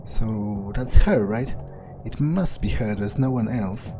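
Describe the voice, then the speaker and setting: A man plays a callous vampire vigilante thinking aloud through a video game. His voice is lowish and mellow, and he talks slowly and offhandedly to himself. Game music plays behind him. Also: expressive. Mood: matter-of-fact. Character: callous, laid-back male vampire vigilante. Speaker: let's player role-playing in character. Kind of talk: in-character gameplay commentary, thinking aloud.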